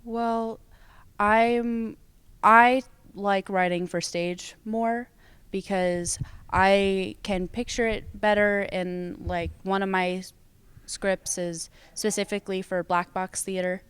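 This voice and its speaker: A woman's voice: medium pitch, fairly even intonation, slow, smooth, no echo. A young American woman giving casual interview answers as a student theater performer. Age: young adult.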